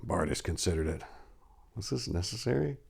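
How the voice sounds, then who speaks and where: Male narrator, lowish pitch, grave theatrical reading of sword-and-sorcery fiction. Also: expressive, normal speed, creaky, slightly rough. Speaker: storyteller. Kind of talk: dramatic scripted fantasy narration.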